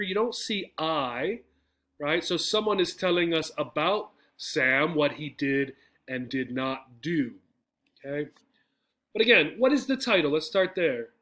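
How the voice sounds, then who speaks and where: A man's voice: on the high side, a bit bright. A man teaching English, explaining step by step in a didactic way with frequent questions and check-in tags. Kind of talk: explanatory English lesson with poem reading.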